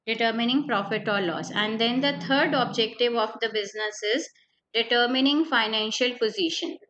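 A woman's voice: on the high side, moderate pace, fairly bright tone. A woman teaching an accountancy class online, explaining step by step and repeating the key points. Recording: slightly dull lo-fi online class audio with faint noise.

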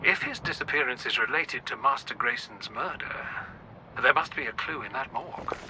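An actor playing a courteous, formal British butler in a video game, speaking politely and precisely. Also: male voice, medium pitch, moderate pace, lightly acted. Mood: concerned. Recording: very noisy game ambience.

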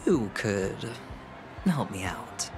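An actor voicing a sly, theatrical young male gambler in a video game, with smooth, teasing phrasing. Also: fairly low pitch, very animated, extremely slow, heavily acted. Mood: feigned politeness. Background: background music.

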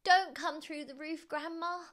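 A woman voicing an excitable, anxious young boy in a children's storybook, exclaiming urgently. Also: a little high, normal speed, voice pushed up. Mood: pleading.

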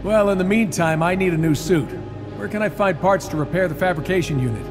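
An actor playing a cocky, wisecracking genius inventor hero in a video game, with glib, sarcastic delivery. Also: male voice, high voice, fairly quick, over music, close to an everyday voice. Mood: brisk, confident. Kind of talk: video game cutscene acting.

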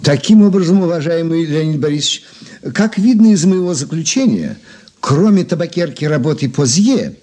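Husky, formal male expert. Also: on the high side, very animated, dark tone, faint music behind. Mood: courteous, assured. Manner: formal, deliberate. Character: courteous, precise male art appraisal expert. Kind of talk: radio drama acting.

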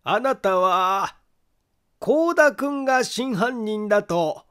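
Man acting a very high-voiced, confiding gentleman. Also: expressive, clean tone, exaggerated voice. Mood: confiding, grave. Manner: polite, deliberate, confiding. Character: grave, secretive man calmly arguing a friend's innocence. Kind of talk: audiobook mystery story character acting.

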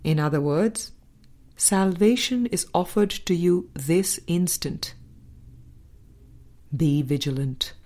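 Slow, low-pitched woman reading aloud. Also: mellow. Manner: measured, deliberate, with pauses between phrases. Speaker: audiobook narrator. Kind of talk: scripted narration, reading a spiritual book aloud.

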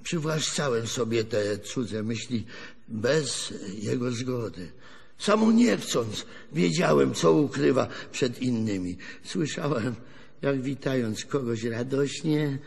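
A folksy old grandfather in a radio play, spinning wry, wistful tales in rustic speech. His voice is husky and creaky, with an unhurried delivery. It rings in a long reverberant space. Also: medium pitch, darkish tone, full character voice. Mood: confessional, sorrowful. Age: older. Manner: rambling folksy storytelling, chuckling, rustic colloquial speech. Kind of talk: radio drama acting.

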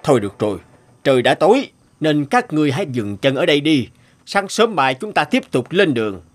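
A man's voice: a little high, big pitch swings, brisk. A man playing a proud but regretful, homesick middle-aged warrior king in a cartoon fairy tale, speaking in a solemn, reflective way. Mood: resolute.

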